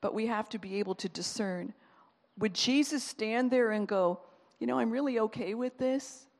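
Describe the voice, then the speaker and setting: A woman's voice: on the high side, normal speed. Woman preaching in an echoing hall. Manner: animated, conversational preaching with quoted asides. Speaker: pastor. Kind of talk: sermon.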